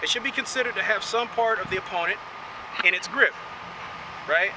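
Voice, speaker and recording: Guy teaching karate outside, high-ish voice, keeps saying right?, mic kinda far, loud wind n trucks. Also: a little fast. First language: English. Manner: chatty, keeps adding "right?". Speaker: karate instructor. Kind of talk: outdoor martial arts lesson. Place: outdoors in wind and passing traffic. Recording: windy outdoor audio, distant mic. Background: wind and passing vehicles.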